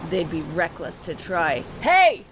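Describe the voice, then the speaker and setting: A woman voicing a hot-tempered warrior sister in a gritty audio drama. Her voice is fairly low and she talks bluntly, with rain and traffic clearly heard behind her. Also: sing-song, slow, rough recording. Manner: blunt and combative.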